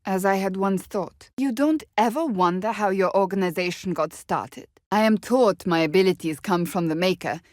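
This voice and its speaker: A woman's voice: mid-range voice, normal speed, hardly any pauses, darkish tone, no echo, clean studio sound, natural voice. An actress playing a stern, blunt, devout warrior woman in a fantasy video game, speaking in a formal, clipped, earnest way. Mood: grave, matter-of-fact.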